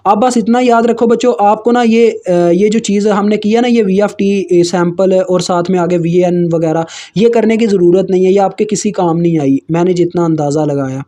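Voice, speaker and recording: A man's voice: sits high, dark tone. A young man in his twenties with a Pakistani Urdu accent, explaining engineering slides in a casual, informal way and calling his viewers kids. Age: 20s. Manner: informal, addresses viewers as kids, frequent fillers and restarts; slowly reads English textbook lines aloud. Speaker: tutor.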